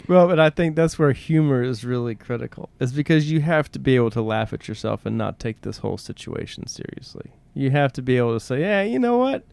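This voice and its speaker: A man of average pitch co-hosts a conversational podcast in a close, dry recording. He muses earnestly, often posing questions and acting out little quotes as he reflects. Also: animated, brisk, vocal fry, few pauses, a little mellow, clean tone, no echo. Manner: earnest, poses rhetorical questions, acts out quotes. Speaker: podcast co-host.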